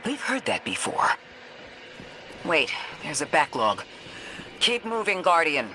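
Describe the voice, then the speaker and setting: An actor playing a calm, analytical robot companion in a video game, speaking in a measured, formal and precise way. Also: male voice, on the high side, exaggerated voice. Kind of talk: scripted video game dialogue.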